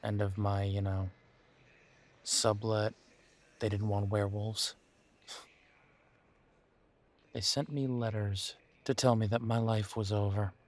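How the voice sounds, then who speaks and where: Wounded, sardonic werewolf male actor for intimate audio roleplay: lowish pitch, unhurried and hesitant, confiding. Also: flat delivery, darkish tone, natural voice. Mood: bitter, weary.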